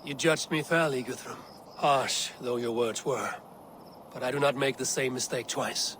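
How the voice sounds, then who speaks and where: An actor playing a hardened Viking leader in a video game, speaking in a measured, formal old-world way. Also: male voice, mid-range voice, steady tone, fairly bright tone, a little hiss or hum, lightly acted. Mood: grave, resolute. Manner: measured, archaic formal phrasing. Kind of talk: video game dramatic acting.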